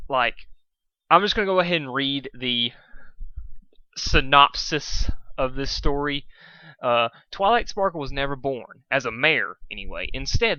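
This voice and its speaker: A man's voice: mid-range voice, bright, forceful tone, smooth, no room sound, highs cut off. A man, an amateur reader who talks casually and stumbles in a self-deprecating way. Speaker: amateur fan fiction reader. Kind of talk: casual rambling introduction and informal story reading.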